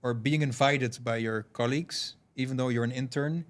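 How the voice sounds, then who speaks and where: A man from Curaçao, a guest on a podcast, telling stories in a thoughtful, relaxed way with many fillers. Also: medium pitch, normal speed. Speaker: podcast guest, payments founder. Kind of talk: casual podcast conversation.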